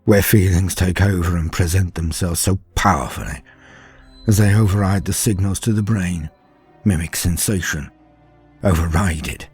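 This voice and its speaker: A man playing a frightened, haunted far-future soldier, telling his horror story in a dramatic first-person monologue with brooding pauses and shifts from hushed to emphatic. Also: low-pitched, husky, soft background music. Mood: fearful. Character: frightened, haunted far-future soldier, former factory worker, recalling terrors.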